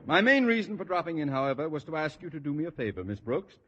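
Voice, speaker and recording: A man's voice: a little high, very animated, fast, pushed and bright, husky, lo-fi sound, heavily acted. A man playing a pompous, blustering middle-aged school principal in a 1950s radio sitcom, firing off haughty insults.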